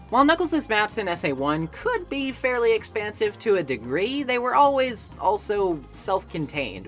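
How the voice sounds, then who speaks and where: A woman with a notably low voice delivers scripted review narration. Her intonation moves around a lot, with wry, opinionated asides in a conversational manner. Faint music plays behind her. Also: a bit bright. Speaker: video essay host and game reviewer. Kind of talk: scripted video essay narration. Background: background music.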